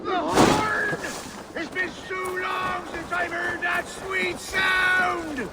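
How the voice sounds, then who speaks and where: An actor playing a proud, loyal medieval Saxon official in a video game, speaking formally and earnestly in archaic phrasing. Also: male voice, very high-pitched, steady tone, very slow, noisy background, heavily acted. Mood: delighted. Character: proud Saxon reeve, loyal old-fashioned local official. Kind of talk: cinematic video game acting.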